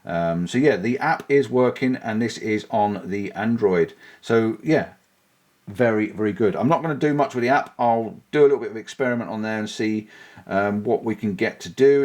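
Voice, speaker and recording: A man's voice: fairly low pitch, moderate pace, a little mellow. A man casually demoing and testing gear for his vlog, thinking aloud as he goes. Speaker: vlogger reviewing gear. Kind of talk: casual product demo. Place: outdoors on a bike, then indoors.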